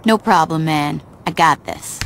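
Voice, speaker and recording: A woman's voice: sits low, a little slow, gravelly, heavily acted. A woman playing a tough, blunt, casual gang boss in a video game cutscene. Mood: casual confidence. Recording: loud background noise.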